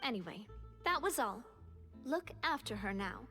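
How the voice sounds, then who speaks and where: A woman's voice: on the high side, lively intonation, normal speed, bright, forceful tone, long reverb tail, faint music behind. An actress playing a proud, confident young woman leader in a video game, speaking in a breezy manner.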